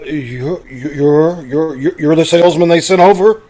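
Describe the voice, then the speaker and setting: A man's voice: on the high side, a little slow, crowd noise, natural voice. A man playing a pompous, blustering, easily flustered school principal in an old-time radio sitcom, with a spluttering delivery. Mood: flustered, smitten. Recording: amateur video-call radio play recording.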